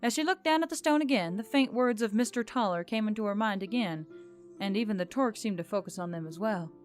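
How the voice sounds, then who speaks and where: A woman's voice: mid-range voice, quick pace. A woman reading scripted narration of a fantasy story. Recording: background music under the voice. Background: music.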